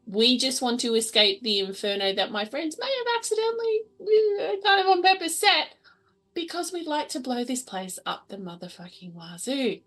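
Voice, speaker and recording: A woman's voice: high voice, moderate pace, a bit bright. A woman playing a tabletop role-playing game, improvising in character in a playful, sweary, casual way. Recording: music behind the voice.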